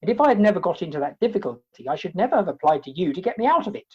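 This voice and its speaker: A woman's voice: fairly low pitch, big pitch swings, very fast, slightly rough. A woman playing an indignant, exasperated comic hero in a Victorian play, wordy and emphatic, in lo-fi video-call audio.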